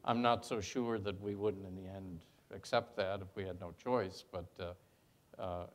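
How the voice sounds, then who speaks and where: An older man speaking in a measured, reflective way as a panelist. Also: deep voice, fairly even intonation. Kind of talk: panel discussion.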